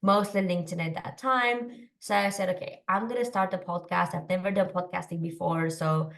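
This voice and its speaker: A woman in her thirties from Romania, a podcast guest telling her story in a quick, chatty way with lots of fillers. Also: lowish voice, steady tone, distant mic. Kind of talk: casual interview storytelling.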